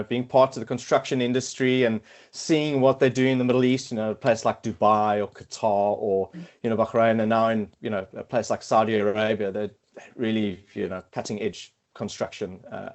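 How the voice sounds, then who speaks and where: A middle-aged man with a South African accent, a podcast guest talking casually and hesitantly, with many fillers and restarts. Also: fairly low pitch. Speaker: podcast guest, quantity surveyor. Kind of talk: casual interview chat.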